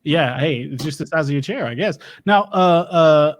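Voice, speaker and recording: A man's voice: a little high, moderate pace. Folksy male comedy host. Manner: folksy, hesitant, drawling with many uhs. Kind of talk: improvised comedy interview.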